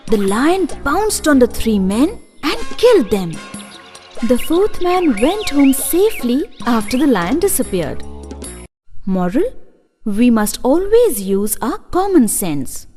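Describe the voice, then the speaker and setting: A woman tells scripted fairy tales for children in a high voice. Her intonation is lively and varied, with soft music behind her. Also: slow pace. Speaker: storyteller. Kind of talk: scripted children's story narration. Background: faint music.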